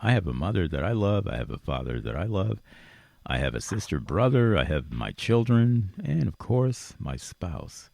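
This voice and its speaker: A man's voice: sits low, very animated, normal speed, creaky, a little mellow, dry recording. A man hosting a radio interview show, speaking conversationally and earnestly, with emphatic repetition. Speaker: radio talk show host. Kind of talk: conversational interview, rambling positive monologue.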